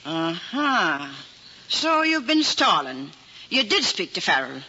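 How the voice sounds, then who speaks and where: An actress playing a no-nonsense working-class wife, brisk and nagging, in an old-time domestic comedy drama. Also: female voice, mid-range voice, big pitch swings, pushed and bright, husky, heavily acted. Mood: exasperated. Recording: dull lo-fi vintage recording, faint noise.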